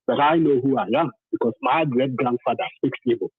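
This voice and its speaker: Over a tinny, phone-like connection, a husky Nigerian man calls in to a talk show. He speaks emphatically and with passion, circling back over phrases to stress them. The line has a roomy ring to it. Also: mid-range voice, a little fast. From southern Nigeria. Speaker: caller to a talk programme. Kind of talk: impassioned phone-in testimony.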